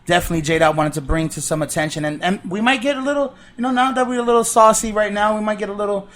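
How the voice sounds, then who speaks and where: Young male podcast host, easygoing, high voice, casual. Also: very fast, fairly bright tone. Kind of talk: casual chat.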